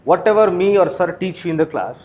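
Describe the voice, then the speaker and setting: A man's voice: high voice, animated, a little fast, a little mellow. A man with an Indian accent lecturing to exam students as a coaching teacher, posing questions and answering them himself. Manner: frequent tag questions like "right?" and "okay?".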